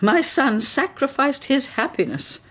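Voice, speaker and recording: A woman's voice: high-pitched, sing-song, a little slow, fairly bright tone, full character voice. An actress playing a proud, blunt older Victorian mother in a period radio drama, speaking in an emphatic, formal way. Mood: grave, narrating. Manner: emphatic, formal, pronouncing judgements.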